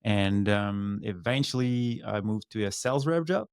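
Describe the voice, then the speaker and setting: A male podcast guest with a Quebec French accent chats casually about his own life. His voice sits fairly low, and French is his first language. The recording is dry and close. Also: lively intonation, unhurried, clean tone, no echo. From Quebec, Canada. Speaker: podcast guest, former downhill mountain bike racer. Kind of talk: casual chat, telling own story.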